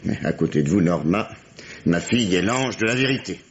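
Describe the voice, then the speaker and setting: A gruff middle-aged police inspector in an old French radio mystery. His low voice swings widely in pitch, by turns stern and sardonic, with theatrical authority. The recording is dull and lo-fi. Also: animated, brisk, a little hiss or hum. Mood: pressing. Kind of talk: 1950s French radio drama acting.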